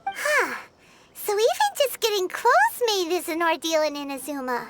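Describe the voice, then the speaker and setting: An actress voicing a tiny, chirpy female fairy companion with a high, squeaky voice and an excitable, curious manner, in game dialogue. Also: moderate pace, very noisy, full character voice. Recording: game audio with loud music behind.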